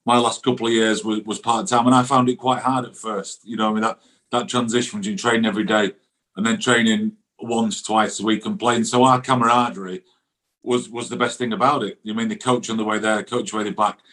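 A man's voice: on the low side, little pitch movement, fairly quick, a bit bright. A middle-aged man, chatting casually and colloquially about football as a former professional goalkeeper. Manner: chatty, colloquial, often adds "you know what I mean".

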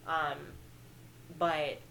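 A young woman co-hosting a podcast in casual, excitable, giggly film chat. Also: low voice, drawn-out words, slight background noise, low-quality recording. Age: young adult. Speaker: podcast co-host. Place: bedroom, indoors.

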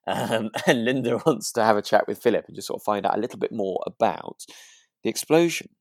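A man's voice: lowish voice, animated, fairly quick, vocal fry, no echo, studio quality. A man co-hosting a podcast, chatting casually in a tentative, musing and rambling way. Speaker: podcast co-host. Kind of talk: casual chat about a radio drama.

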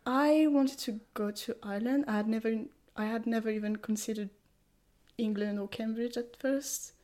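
A woman's voice: a little high, fairly even intonation, moderate pace, darkish tone. A French woman in her twenties, speaking English with a French accent as a podcast guest, hesitant, with many fillers and restarts. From France. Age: 20s. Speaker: guest, English language student. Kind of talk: casual podcast conversation.

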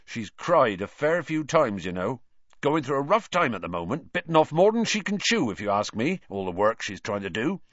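Man as big rustic gamekeeper, earnest, dogged, folksy, storybook character, lively intonation, dry close sound. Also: medium pitch, moderate pace, no room sound, dull audio, pitched above the performer's own voice. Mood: concerned. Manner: rustic dropped words, folksy and plain-spoken.

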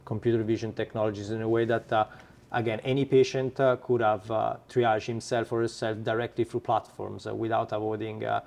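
Italian guy, gravelly voice, lots of uhs and restarts, founder on a podcast. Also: fairly low pitch, flat delivery, darkish tone. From Italy. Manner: halting, many filler sounds and restarts. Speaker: startup founder guest. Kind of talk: podcast interview.

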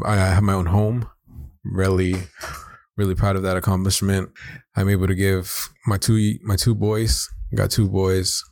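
Deep-voiced young American man. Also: no echo. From South Los Angeles, United States. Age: 20s. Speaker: podcast co-host. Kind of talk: casual personal introduction.

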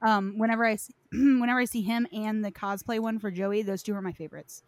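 A woman's voice: mid-range voice, fairly even intonation, brisk, no echo. A woman hosting a gaming podcast, chatting informally and with some self-deprecation. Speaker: podcast host. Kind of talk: casual gaming chat.

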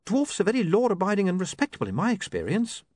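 A prim, proper young woman with a lilting, very animated voice that leaps high and low, as a male reader puts her on. She speaks precisely, in a dry, close storybook reading. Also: fast, no room sound, heavily acted.

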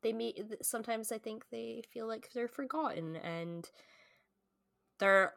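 A woman's voice: on the high side, a little slow, creaky, fairly bright tone, dry recording, studio quality. A woman in her late twenties co-hosting a podcast, chatting casually and informally about books, peppering her talk with "like". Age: late 20s. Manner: informal, frequent "like" as filler, mild swearing. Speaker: podcast co-host. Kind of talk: casual book-club chat.

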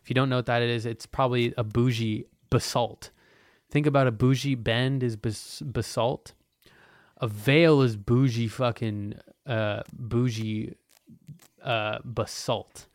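Smooth-voiced young man rambling casually. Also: medium pitch, steady tone, measured pace, no room sound. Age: 20s. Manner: loose, profane, meandering with frequent restarts. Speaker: podcast host.

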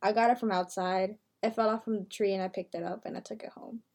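A woman's voice: medium pitch, fairly even intonation, quick pace, darkish tone. 18 yr old girl cohosting a podcast, chatty and loose, says like a lot. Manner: chatty, leans on "like" fillers. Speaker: podcast co-host, university freshman. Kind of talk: casual podcast chat.

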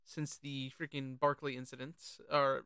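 A podcast co-host talking casually and informally about films, animated and sweary. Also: mid-range voice, moderate pace, clean tone, no echo, studio quality. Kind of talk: casual film discussion.

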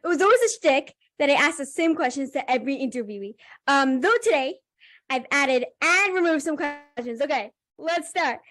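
A woman's voice: sits high, expressive, normal speed, fairly bright tone. A young woman hosting a podcast, running a casual interview in an enthusiastic, upbeat way. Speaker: podcast host.

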